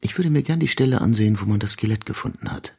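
A man's voice: on the low side, expressive, fast, vocal fry, warm tone, husky, heavily acted. An actor playing a dogged male police detective in a radio crime drama, probing and dryly ironic. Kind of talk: radio play dialogue.

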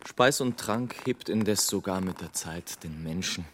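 An actor playing a sardonic, world-weary airship traveller, narrating in measured literary style in a radio play. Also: male voice, deep voice, background noise. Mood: exalted, scornful.

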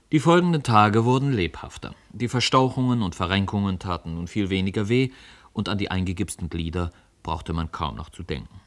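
Male narrator, literary radio play: deep register, scripted storytelling.

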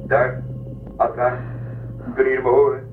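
A brisk spaceship leader in a radio serial. His mid-pitched, authoritative voice comes over an intercom, echoing and thin, with music behind. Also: monotone, narrow, tinny audio, a little hiss or hum. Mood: urgent, commanding. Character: brisk male spaceship leader giving orders. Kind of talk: radio drama acting.

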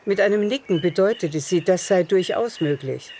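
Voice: medium pitch, normal speed, a little mellow, slight background noise. A narrator telling a literary story in a radio drama, in a composed manner. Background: music.

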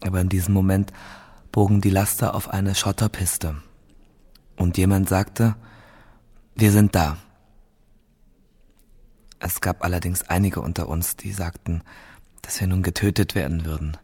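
An actor playing a grave, parched captive awaiting execution in a radio drama monologue, slow and subdued, sometimes near a whisper. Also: male voice, low voice, steady tone, mellow, husky, full character voice. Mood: subdued, bewildered.

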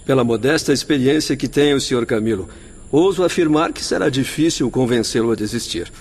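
A courteous doctor in a radio play. His husky, mid-pitched voice is measured and formal, with gentle concern. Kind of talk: radio drama acting.

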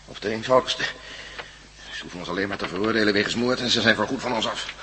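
A blunt old-soldier prisoner in a radio play. He talks fast and drily in a low, very sharp voice, pessimistic and matter-of-fact. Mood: dry. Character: jailed retired American general, blunt and pessimistic. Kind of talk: Dutch radio drama acting. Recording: radio play with faint hum.

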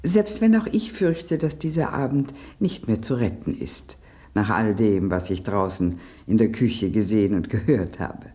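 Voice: medium pitch, lively intonation, a little slow, dark tone, close to an everyday voice. An actor in a German radio play voicing an elegant, resigned cream soup with a stately, formal, world-weary manner. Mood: resigned, dignified.

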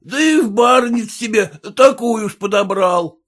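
A man voicing a drunk red-faced peasant who shouts rude abuse at his passengers. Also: high-pitched, a little slow, voice pushed up. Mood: drunken abuse.

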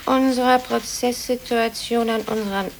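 Snippy office typist, old radio play, kinda high and creaky, bit of hiss. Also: female voice, steady tone, normal speed, low-quality recording. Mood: peevish, impatient. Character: peevish, impatient female office typist. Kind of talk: 1950s German radio play acting. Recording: hissy old radio tape.

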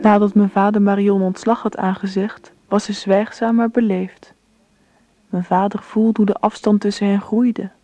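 A woman playing a grown daughter who narrates her past in a measured storytelling way, in a radio drama with dulled sound. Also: mid-range voice, level tone, dark tone, soft background music, natural voice. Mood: calm, reflective.

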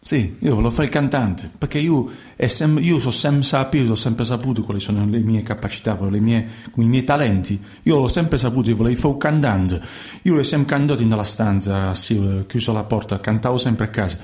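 An actor playing a naive young man who drives migrants and dreams of singing, with a southern Italian accent, in an excitable, repetitive, colloquial radio theatre style. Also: mid-range voice, brisk, reverberant, close to an everyday voice. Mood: wistful, pleading.

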